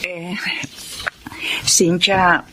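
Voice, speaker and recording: A woman's voice: lowish voice, little pitch movement, extremely slow, fairly bright tone, slight background noise. An actress with a Filipino accent playing an anxious, doting, sickly mother in a radio drama, hesitant and pleading. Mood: apologetic, nervous.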